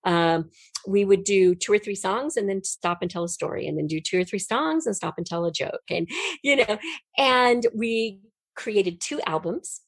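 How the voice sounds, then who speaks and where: A woman's voice: mid-range voice, normal speed, no room sound. A middle-aged American woman chatting casually as an interview guest, telling personal stories and acting out what people said. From United States. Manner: chatty, animated retelling with acted-out quotes. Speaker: podcast guest, writer and performer.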